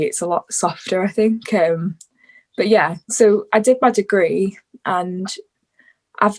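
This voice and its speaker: Woman in her twenties, northwest English accent, chatty, laughs often, softens at ends of thoughts. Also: on the low side. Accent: northwest English, between Liverpool and Manchester. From northwest England. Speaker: guest musician. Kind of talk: casual conversation.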